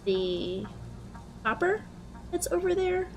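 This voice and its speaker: A young woman with a head cold and a stuffed-up nose, chatting casually through gameplay and thinking out loud with plenty of ums. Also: extremely high pitch, slow, faint music behind, poor audio. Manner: relaxed, thinking aloud with frequent ums. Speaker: let's play host.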